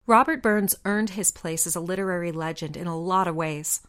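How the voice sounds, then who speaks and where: A woman hosts her own podcast, narrating a prepared segment. Her voice sits in a middle register, and she reads with enthusiasm, adding light humorous asides along the way. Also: medium pitch. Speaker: podcast host.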